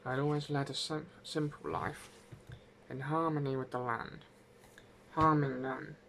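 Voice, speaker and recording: A man voicing the ghost of a gentle, wronged herbwoman in her eighties, telling a folk tale in an unhurried, wry way. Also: medium pitch, fairly even intonation, vocal fry, a little hiss or hum, voice pushed up. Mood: wistful, proud. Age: 80s. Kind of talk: first-person folk tale narration.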